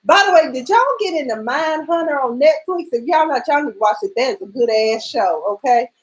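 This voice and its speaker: High-voiced Mississippi woman host. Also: fairly quick, rough recording. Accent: Mississippi Southern. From rural Mississippi. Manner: excitable, loud exclamations, playful. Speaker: radio show host. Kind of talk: casual radio chat.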